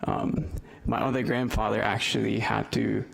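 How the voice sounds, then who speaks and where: Young speaker from el salvador, low gravelly voice, lots of ums, telling his own story at a panel. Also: little pitch movement, moderate pace, a bit bright. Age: 20s. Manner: hesitant, many filler ums. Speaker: youth representative. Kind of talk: personal story told to a panel audience.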